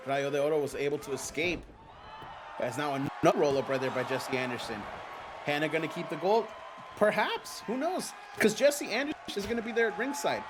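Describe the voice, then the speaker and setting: A man whose first language is Spanish, hosting a live stream and commentating a simulated wrestling game in an excitable, chatty way. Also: on the high side. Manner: excitable, chatty, reads viewer comments aloud. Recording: noisy background.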